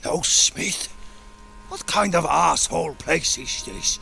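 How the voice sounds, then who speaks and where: Boisterous travelling Viking blacksmith, game cutscene: high-pitched for a man, forceful, blunt and bawdy. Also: lively intonation, slow pace, vocal fry, faint music behind, full character voice. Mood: grumbling. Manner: blunt, coarse, sings lustily. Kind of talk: video game cutscene acting.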